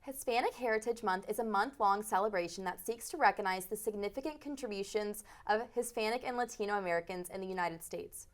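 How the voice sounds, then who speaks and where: A woman's voice: medium pitch, fast talker, hardly any pauses. Student woman anchor reading news. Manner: crisp, formal read from teleprompter.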